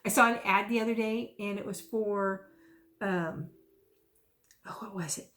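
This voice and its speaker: A woman in her fifties chats casually to her viewers. She rambles warmly from one thought to the next with folksy, self-amused asides. Her voice sits at an ordinary middle pitch. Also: a little slow. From South Texas. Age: 50s. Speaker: stitching vlogger. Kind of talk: casual chatty vlog.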